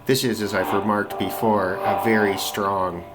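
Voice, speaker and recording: A man's voice: on the low side, little pitch movement, unhurried, low-quality recording. A man giving casual, off-the-cuff commentary as a radio hobbyist, thinking aloud hesitantly as he goes. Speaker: shortwave radio hobbyist host. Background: shortwave radio broadcasts and music.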